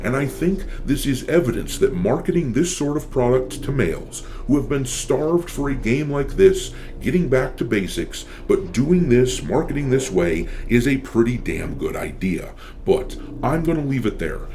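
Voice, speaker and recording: A man's voice: mid-range voice, big pitch swings, normal speed, few pauses, background noise. A man giving opinionated gaming commentary as a channel host, blunt and sardonic, swearing casually.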